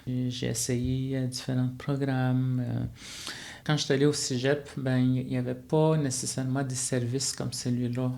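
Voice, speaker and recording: Une femme de langue maternelle atikamekw, à l'québécois, invitée d'une entrevue, qui parle de façon réfléchie avec beaucoup d'hésitations. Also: female voice, very deep voice, level tone, moderate pace, gravelly, dark tone. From Quebec. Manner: reflective, frequent fillers and restarts. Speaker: guest, student life facilitator. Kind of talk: conversational podcast interview.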